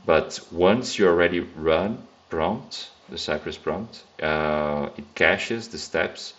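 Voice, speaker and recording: Very deep-voiced man with a Brazilian accent. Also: slow pace, fairly bright tone, dull audio. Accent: Brazilian Portuguese. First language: Brazilian Portuguese. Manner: casual, thinking aloud, frequent fillers and restarts. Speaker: software test engineer. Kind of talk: screen-recorded coding tutorial.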